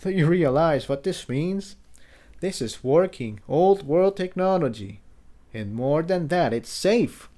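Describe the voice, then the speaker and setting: Man playing a dry, mellow young unicorn stallion. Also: on the high side, lively intonation, slow pace, voice pushed up. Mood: quiet excitement. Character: young male unicorn inventor, cold, sarcastic, tech-fascinated.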